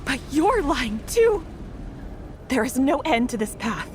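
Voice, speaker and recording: A woman's voice: high voice, sing-song, takes it slowly, slight background noise, full character voice. An actress playing a weary, despairing woman who gives up hope and speaks with bitter resignation in a game. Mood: bitter, resigned. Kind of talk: game voice acting.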